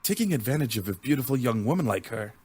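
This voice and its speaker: A man's voice: mid-range voice, expressive, fast, a little mellow, husky, dry recording. A man voicing a disdainful, brooding pretty-boy demon in a visual novel game, with a haughty, scornful manner.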